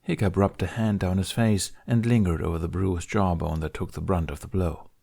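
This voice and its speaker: A man's voice: low voice, fairly even intonation, normal speed, gravelly, dark tone. A man narrating fiction as an audiobook reader, reading from a script.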